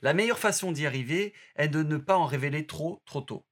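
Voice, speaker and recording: Man, slightly high voice, steady scripted narration, instructive audio lesson. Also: fairly quick.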